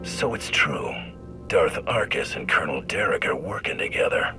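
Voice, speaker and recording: Very deep-voiced, terse bounty hunter. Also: male voice, lively intonation, normal speed, music in the background. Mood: dry, cool. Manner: terse, dry, cool. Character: tough, terse, mercenary male armored bounty hunter. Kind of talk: video game acting.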